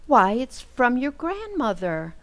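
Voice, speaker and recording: A woman's voice: on the high side, very animated, slow, fairly bright tone, exaggerated voice. An actress playing a patient but firm middle-aged housewife and mother in an old-time radio comedy, with pointed delivery. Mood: delighted.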